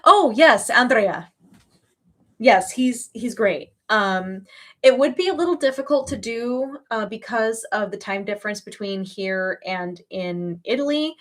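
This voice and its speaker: A woman's voice: medium pitch, unhurried. A woman in her early thirties chatting casually as a livestream host, with an emphatic, gushing way of talking. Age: early 30s.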